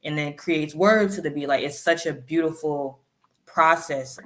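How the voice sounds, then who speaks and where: A woman in her thirties, a rapper guest, telling stories in a chatty, animated way in an interview. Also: deep voice, normal speed. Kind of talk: interview storytelling.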